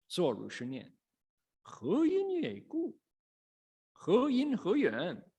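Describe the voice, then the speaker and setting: A man reciting and teaching Buddhist scripture as a monk, in a measured, dramatic storytelling manner with pauses. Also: high voice, huge pitch swings, mellow, slightly rough. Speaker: Buddhist monk, sutra lecturer. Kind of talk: sutra recitation and dharma lecture.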